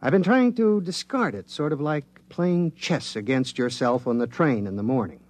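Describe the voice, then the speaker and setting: A man's voice: medium pitch, animated, moderate pace, lightly acted. An actor playing an earnest, modest male government scientist who explains technical matters hesitantly, in 1950s radio drama style. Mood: musing.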